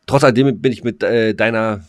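A man's voice: on the low side, no echo, clean studio sound. A German man co-hosting a podcast in casual discussion, often stammering and repeating words as he makes his point. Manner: halting, stammers and repeats words while arguing. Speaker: podcast co-host.

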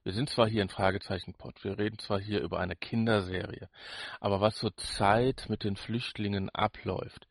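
Blunt German man, lowish voice. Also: steady tone, normal speed, creaky, dry recording. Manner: blunt, colloquial, repeats himself. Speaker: podcast co-host. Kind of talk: casual opinionated chat.

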